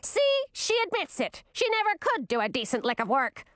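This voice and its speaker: A vain and jealous young princess in a fairy tale, performed by a woman reader. Her voice sits very high and leaps about with spluttering, theatrical indignation. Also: huge pitch swings, moderate pace, fairly bright tone, no room sound, studio quality, full character voice. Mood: indignant.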